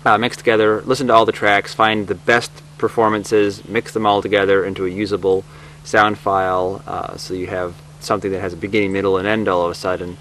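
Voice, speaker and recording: A man with a fairly low voice talks reflectively and explains as he goes, in an unscripted interview style. A faint hum sits behind him. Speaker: audio drama producer and writer. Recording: noisy recording with steady hum.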